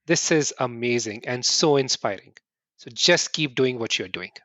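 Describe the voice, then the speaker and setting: A middle-aged man from India, answering interview questions earnestly in quick bursts and often restarting with "right?". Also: mid-range voice, expressive, fairly bright tone, no room sound, muffled recording. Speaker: podcast guest.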